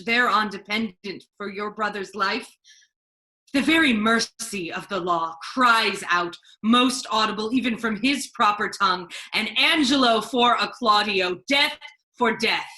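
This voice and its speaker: A woman playing a stern, authoritative male duke in a measured, formal Shakespearean stage reading. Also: medium pitch, bright, forceful tone, poor audio. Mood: stern, condemning.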